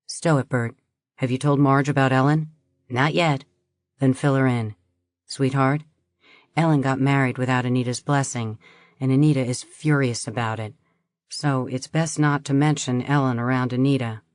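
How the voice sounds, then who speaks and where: A woman voicing a hurried, placating middle-aged husband who talks in a soothing, rushed way. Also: medium pitch, fairly even intonation, no room sound, natural voice.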